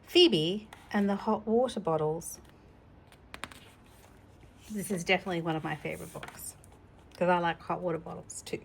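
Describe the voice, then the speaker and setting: A woman's voice: on the low side, normal speed, vocal fry, long pauses, slight background noise. A middle-aged woman hosting a children's storytime, chatting casually and explaining things simply as if to a child. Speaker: storytime host reading children's books. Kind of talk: casual read-aloud with chatty asides.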